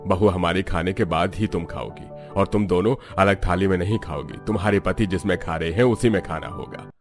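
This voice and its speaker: A stern, old-fashioned mother-in-law from a cartoon family drama, put on by a man. The voice is low in pitch, scolding and demanding. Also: normal speed, faint music behind. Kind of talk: cartoon story dialogue.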